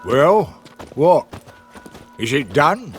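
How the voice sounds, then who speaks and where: An actor playing a hard-bitten guerrilla commander in a game, with a sardonic, clipped delivery. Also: male voice, fairly high pitch, big pitch swings, extremely slow, faint music behind, exaggerated voice. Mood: brisk. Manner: sardonic, clipped, sometimes florid. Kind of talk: cinematic game voice acting.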